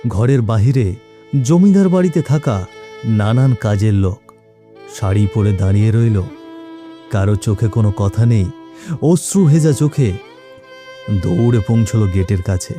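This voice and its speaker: Very dark-toned male story narrator. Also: on the low side, soft background music. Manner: measured, dramatic pauses. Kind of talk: dramatic scripted horror-story narration.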